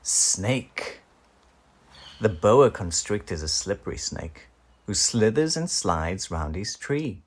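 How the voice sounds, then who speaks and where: A man reading a children's picture book aloud in an animated, theatrical way, drawing out key words. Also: lowish voice, takes it slowly, husky.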